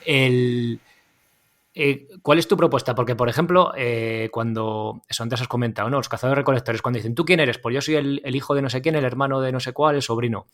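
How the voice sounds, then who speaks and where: A man of ordinary pitch hosts a relaxed interview over a video call. He asks long, meandering questions in an informal way and circles back as he goes. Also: brisk. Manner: informal, apologetic, rambling questions. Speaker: podcast host. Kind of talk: casual interview.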